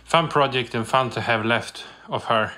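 A young adult man talking casually as a vlogger, in a halting, reflective way. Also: mid-range voice, bright, forceful tone. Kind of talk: casual vlog narration.

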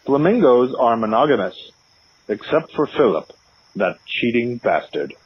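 Husky deadpan caller played by a man, lo-fi line. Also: medium pitch, unhurried, no room sound. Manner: deadpan, announcer-like recitation. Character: stubborn, deadpan male prank caller reciting absurd animal facts. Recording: dull, lo-fi phone-in line.

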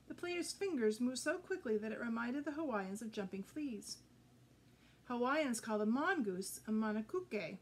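High-voiced middle-aged woman reading a storybook. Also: darkish tone, husky. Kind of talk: expressive children's storybook read-aloud. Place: indoor room with green curtain backdrop.

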